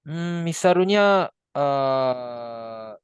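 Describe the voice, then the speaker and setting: A man from Osaka with a Japanese accent, a language student speaking hesitantly with many pauses and fillers. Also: medium pitch, animated, clear, resonant tone, dry recording, highs cut off.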